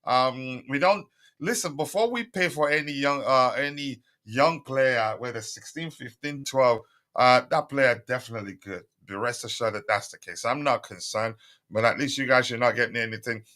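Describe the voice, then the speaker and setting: A man's voice: mid-range voice, moderate pace, bright, forceful tone. A man hosting a casual live stream, chatting with viewers and reading their comments, with lots of fillers and his voice a little strained. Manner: chatty, many fillers, repeats calls for likes. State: voice strained, recovering. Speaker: livestream host.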